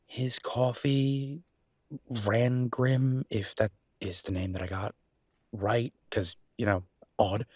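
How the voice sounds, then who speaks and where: A man playing a casual, affectionate chef boyfriend in a roleplay audio, talking informally and a bit haltingly. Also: mid-range voice, level tone, darkish tone, slightly rough, no echo, studio quality. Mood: awed, excited.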